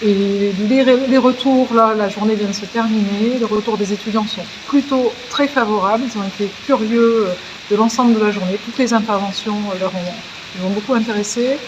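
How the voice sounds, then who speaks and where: A woman's voice: mid-range voice, fairly quick, highs cut off, background noise. A woman, a university lecturer, answering interview questions hesitantly and searching for her words.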